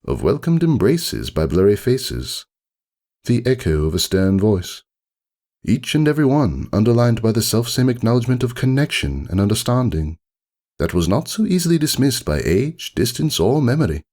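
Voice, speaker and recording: A man's voice: fairly low pitch, darkish tone. A man playing a haughty, melancholy aristocratic unicorn who narrates his own story in a wordy, theatrical, ruminative storybook manner. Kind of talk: dramatic storybook reading, first-person monologue.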